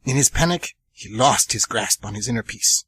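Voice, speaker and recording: A man narrating a scripted radio drama in an expressive storytelling way. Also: mid-range voice, moderate pace, slightly rough.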